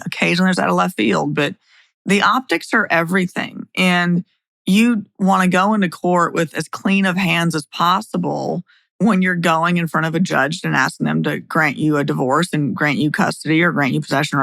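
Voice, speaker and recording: A woman's voice: fairly low pitch, steady tone, moderate pace, creaky, a bit bright, no echo. A middle-aged woman speaking as a podcast host and attorney, in a blunt, plain-spoken way. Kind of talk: scripted narration and opinionated podcast talk.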